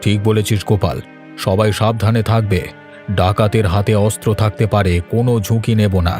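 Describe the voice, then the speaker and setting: A grave village leader from a fairy tale cartoon, put on by a man. His voice is low, measured and authoritative. He speaks over background music. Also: steady tone, darkish tone, natural voice. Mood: commanding. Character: grave, commanding male village elder and leader.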